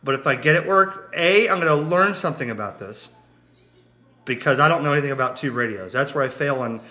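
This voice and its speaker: A man hosting a casual hobby radio vlog, chatting to camera in an excitable way and often repeating himself. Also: medium pitch, fairly quick. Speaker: ham radio vlogger, host.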